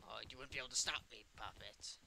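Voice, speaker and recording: A man voices a mischievous evil puppet in a video game roleplay. The voice is bright and forward at a medium pitch, with whiny, bantering delivery. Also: fast talker, creaky. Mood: defiant teasing. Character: mischievous, sickly evil puppet animatronic from another dimension. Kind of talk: improvised roleplay over gameplay chat.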